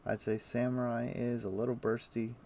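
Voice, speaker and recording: A man's voice: mid-range voice, level tone, moderate pace, vocal fry. A man streaming a video game, chatting casually and thinking aloud with lots of fillers. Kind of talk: casual gameplay chat. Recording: faint music in the background. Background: soft game music.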